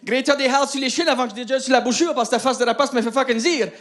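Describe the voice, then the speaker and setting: High-voiced Acadian man doing stand-up. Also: steady tone, racing, a bit bright. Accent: Acadian French. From New Brunswick, Canada. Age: 30s. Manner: chatty, self-mocking, often laughs at his own lines. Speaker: stand-up comedian. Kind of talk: stand-up routine. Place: comedy stage before a live audience. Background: faint audience laughter.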